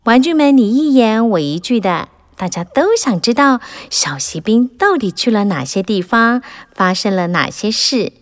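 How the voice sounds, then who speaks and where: A woman narrating a children's fairy tale in an animated, expressive way, rising and falling with the drama. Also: a little high, moderate pace, hardly any pauses. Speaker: storyteller.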